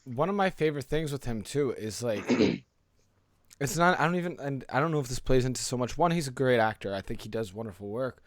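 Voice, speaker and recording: A man's voice: mid-range voice, expressive, brisk, dry recording. Casual man chatting, close dry sound. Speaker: podcast co-host. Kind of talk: casual pop culture podcast chat.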